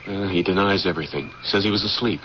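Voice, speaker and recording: An actor playing an earnest, dutiful military security officer in an old-time radio drama, solemn, formal and emphatic. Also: male voice, sits low, fast talker, lightly acted. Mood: anguished, indignant. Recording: dull, noisy old radio recording.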